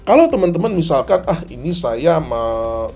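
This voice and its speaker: A slightly hoarse man giving a tutorial as a trading instructor, didactic, often asking and answering his own questions. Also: on the high side, big pitch swings, moderate pace, darkish tone, soft background music. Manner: didactic, asks and answers own questions, addresses viewers as friends. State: hoarse, often clearing throat. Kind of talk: tutorial commentary on a chart replay.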